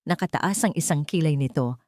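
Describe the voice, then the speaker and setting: A woman's voice: low-pitched, brisk, a little mellow, dry recording, studio quality. A woman narrating a scripted, dramatic romance story.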